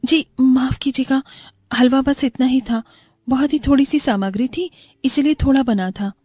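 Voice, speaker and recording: A woman voicing a gentle, devoted poor young bride who speaks politely and respectfully. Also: high voice, normal speed, husky, no room sound, pitched above the performer's own voice. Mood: apologetic. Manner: respectful, polite, soft-hearted.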